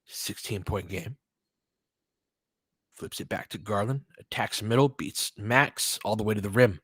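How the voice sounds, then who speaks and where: A man's voice: on the low side, unhurried, fairly bright tone, husky, no echo, clean studio sound. A man doing informal live basketball play-by-play, mixing short clipped calls with conversational coaching analysis and fan-like asides.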